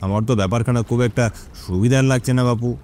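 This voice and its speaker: A man's voice: medium pitch, racing, background noise, close to an everyday voice. A man playing a grumbling, suspicious old village fisherman in a cartoon, folksy and chiding. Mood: puzzled, suspicious. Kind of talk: Bengali cartoon story dialogue.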